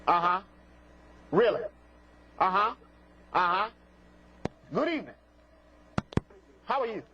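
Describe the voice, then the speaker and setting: Man, high voice, very animated, sassy scolding phone-in host, folksy colloquial speech, noisy lo-fi broadcast. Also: slow. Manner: sassy, scolding, theatrical, repeats catchphrases. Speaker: host of a live phone-in psychic show. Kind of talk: live call-in TV talk with prank callers. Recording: muffled lo-fi broadcast with clear noise, clicks.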